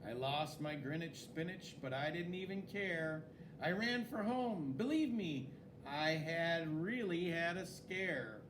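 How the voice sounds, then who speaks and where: A man reading a children's story aloud in an expressive, dramatic way, with swooping emphasis. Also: fairly high pitch, slow, noisy background. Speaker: story reader.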